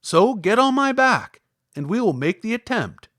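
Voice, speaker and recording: A man performs a shaggy, cowardly lion from a children's storybook. The big beast is earnest and obliging, speaking in formal, deliberate phrases with a high, swooping delivery and a dry sound. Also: very animated, fairly bright tone, dry recording, full character voice. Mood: nervous but willing.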